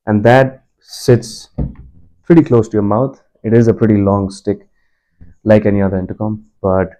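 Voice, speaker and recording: A man giving a casual product review in an explanatory, measured, conversational way. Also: lowish voice, dark tone, low-quality recording. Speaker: product reviewer and motorbike commuter.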